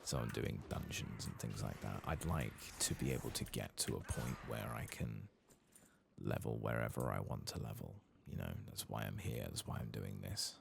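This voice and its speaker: Very deep, gravelly soft-spoken British man. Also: normal speed, background noise. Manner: low-key, rambling, musing to himself. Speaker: gaming streamer, ASMR host. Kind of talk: soft-spoken ASMR game commentary, partly whispered.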